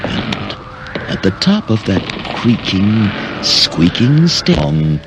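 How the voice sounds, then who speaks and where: Super slow theatrical guy telling a creepy tale, pitch swings all over, loud music behind. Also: big pitch swings, creaky, background noise. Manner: drawn-out, ominous, theatrical. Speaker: storyteller. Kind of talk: slow, repetitive spooky storytelling.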